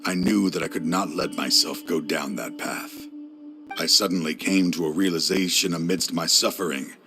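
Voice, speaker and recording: A deep-voiced grieving father. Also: music in the background. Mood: resolute. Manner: low, solemn, measured. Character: grieving older scholar father, weary, resolute. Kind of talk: video game voice acting.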